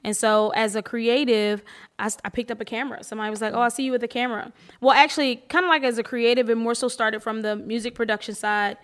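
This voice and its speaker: A woman in her late thirties with a high, youthful voice, chatting and telling stories colloquially as a podcast co-host. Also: steady tone, quick pace. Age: late 30s. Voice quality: high-pitched, youthful-sounding voice. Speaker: co-host, filmmaker and teacher. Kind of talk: casual podcast conversation.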